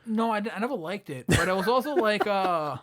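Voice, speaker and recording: A man's voice: sits high, animated, brisk. A man, a comedian guest, telling stories in casual podcast chat, often restarting and repeating his phrases.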